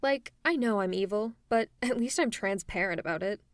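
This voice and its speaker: A woman acts out a sly female supervillain in an audio roleplay. She talks in a teasing, sardonic, conversational manner, with a caring streak under the villainy. Her mid-pitched voice is recorded close and dry. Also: moderate pace, no room sound, lightly acted. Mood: coaxing, persuasive. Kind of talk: roleplay ASMR audio drama.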